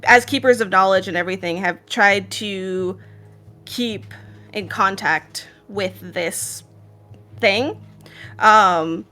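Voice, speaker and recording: A woman's voice: medium pitch, slow pace, sharp tone, soft background music. A woman with an Israeli accent, narrating a tabletop game as game master in a casual, laughing way. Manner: laughs often, casual with fillers.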